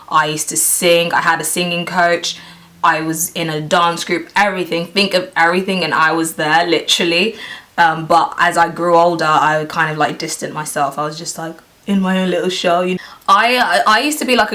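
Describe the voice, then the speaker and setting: Chatty woman in her twenties, bright voice. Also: fairly low pitch. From Nigeria. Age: 20s. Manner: chatty, lots of "like" and "you know", trails off quietly. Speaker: beauty vlogger. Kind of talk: casual to-camera chat.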